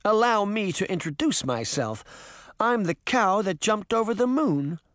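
A man voicing an excitable, lovestruck woman who is bold and pleading, in an audiobook story. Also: high voice, a little slow, no room sound, clean studio sound, voice pushed up, full character voice. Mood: bold, proud.

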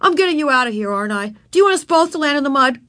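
A woman's voice: high voice, big pitch swings, normal speed, a bit bright, dry recording, clean studio sound, voice pushed up. A woman voicing a big schoolboy traffic monitor who talks in a cross, grudging way in a children's story. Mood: bossy.

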